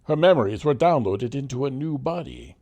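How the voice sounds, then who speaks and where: A man's voice: medium pitch, lively intonation, fairly quick, dark tone, no echo. Dry, close-sounding male narrator. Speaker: narrator of an audio drama. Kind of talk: scripted narration, old radio serial style.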